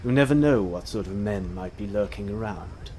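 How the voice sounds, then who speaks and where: An actor playing a cold, menacing Victorian gentleman scientist in a stage drama, clipped, curt and contemptuous. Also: on the low side, normal speed, a little hiss or hum, natural voice. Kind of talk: theatrical stage drama acting.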